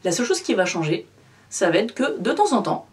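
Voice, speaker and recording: A woman's voice: mid-range voice, very animated, fairly quick, recorded from a distance. A woman in her thirties, a photography vlogger, talking casually and directly to her viewers with lots of fillers. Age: 30s. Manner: chatty, addresses the viewer informally with many fillers. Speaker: photography YouTuber. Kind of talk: casual direct-to-camera vlog.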